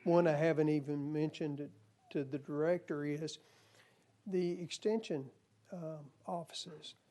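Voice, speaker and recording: Hoarse man with a mellow voice. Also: fairly high pitch, steady tone, a little slow. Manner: earnest, folksy, with restarts. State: losing his voice. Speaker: commission nominee. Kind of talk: hearing testimony. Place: legislative hearing room.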